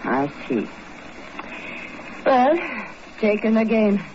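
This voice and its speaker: On a muffled, faintly hissy 1950s radio recording, a gracious, scheming adventuress. She speaks slowly and with poise, cool, shrewd and a little coy. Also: mid-range voice, very animated. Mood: rueful, poised.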